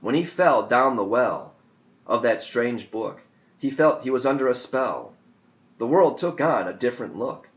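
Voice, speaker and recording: A man reading a verse story as audiobook narration, with solemn, measured poetic phrasing. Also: medium pitch, animated. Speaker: author reading his own verse story. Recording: dull lo-fi audio.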